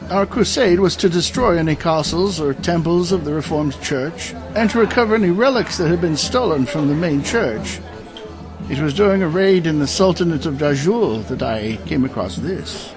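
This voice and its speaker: A man acts out an aging, world-weary knight from a fantasy radio play. His fairly high voice swings widely as he speaks in grand, old-fashioned phrases, with a creaky edge and music underneath. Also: animated, normal speed, poor audio. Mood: grave, ominous. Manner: formal, grandiose, archaic storytelling. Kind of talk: audio drama acting.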